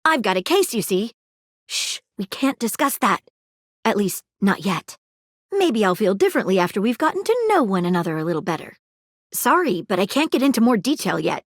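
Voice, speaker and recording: A formal, lively detective object. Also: female voice, medium pitch, normal speed, dry recording, clean studio sound. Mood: earnest. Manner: formal, precise detective phrasing. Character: earnest female detective, a household object. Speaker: voice actor in a dating game. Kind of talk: game dialogue clips. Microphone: studio booth mic.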